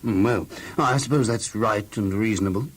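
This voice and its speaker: A man's voice: on the low side, normal speed, slightly rough. A man playing a stern but reasonable Egyptian captain, questioning with authority in an audio Bible drama. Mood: commanding, curious.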